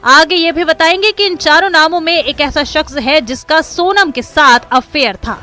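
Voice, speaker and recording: A woman's voice: sits high, normal speed, bright, forceful tone, faint music behind. A woman narrating a scripted crime news report in a dramatic, emphatic manner.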